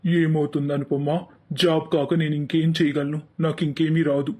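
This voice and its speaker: A man's voice: fairly high pitch, flat delivery, normal speed, a little mellow, close to an everyday voice. A man voicing an earnest young husband and son in a cartoon story. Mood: worried.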